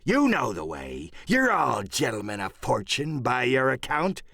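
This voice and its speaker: Crafty old pirate, blustery threats, voice swinging all over, dry close audiobook sound. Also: male voice, medium pitch, wildly swooping pitch, unhurried, creaky, bright, forceful tone, no echo, full character voice. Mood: roaring, menacing. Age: older. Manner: blustering, threatening, colourful sailor talk. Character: menacing, cunning one-legged old pirate sea cook.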